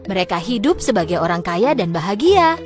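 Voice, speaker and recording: A woman narrating a scripted fairy tale in a dramatic, expressive storytelling manner. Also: mid-range voice, normal speed, over music.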